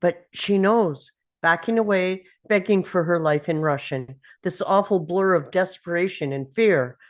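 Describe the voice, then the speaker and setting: A woman narrates screenplay action at a table read. Her voice sits slightly low for a woman, and she delivers the stage directions as dramatic storytelling. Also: measured pace.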